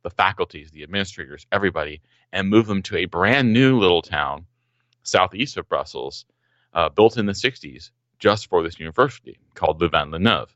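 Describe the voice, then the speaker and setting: A man's voice: low-pitched, expressive, fairly quick, fairly bright tone, dry recording, highs cut off. A young man guesting on a podcast, telling stories with enthusiasm and a few ums. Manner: enthusiastic storyteller, some ums. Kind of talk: casual podcast conversation.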